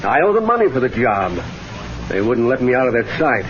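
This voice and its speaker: An actor playing a hard, self-assured, scheming outlaw boss in a radio Western, talking curt and tough. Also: male voice, medium pitch, big pitch swings, fairly quick, music in the background, close to an everyday voice. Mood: matter-of-fact, insistent. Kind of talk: old-time radio Western acting. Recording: muffled band-limited old radio recording.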